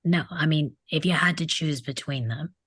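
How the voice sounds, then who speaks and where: A woman's voice: low voice, normal speed, fairly bright tone, breathy edge, no room sound, studio quality. A woman reading the part of a mocking, crude teenage delinquent boy at a table read of a film script. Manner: mocking, needling, insolent.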